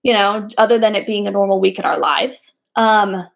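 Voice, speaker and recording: A woman's voice: mid-range voice, steady tone, normal speed, creaky. A woman co-hosting a podcast, chatting casually and laughing often. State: pregnant. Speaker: podcast co-host. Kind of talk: casual chat.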